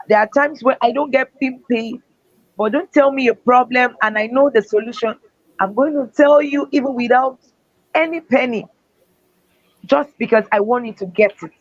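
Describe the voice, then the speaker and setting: A woman's voice: fairly high pitch, no echo. A woman speaker and author giving earnest, faith-driven motivational answers in an interview. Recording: dull, dry lo-fi sound.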